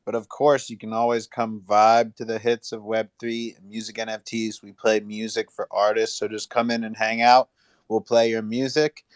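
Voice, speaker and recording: A man's voice: on the low side, flat delivery, normal speed, no room sound. A man co-hosting a live online panel, giving casual, slangy promotional plugs. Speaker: podcast co-host and promoter.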